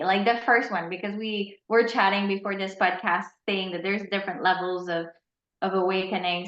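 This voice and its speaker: A woman hosts a podcast, chatting in a casual, effusive way. Her pitch is average. The room is echoey and the sound is dull, as over a video call. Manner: warm, effusive greetings. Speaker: podcast host. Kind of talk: casual interview talk.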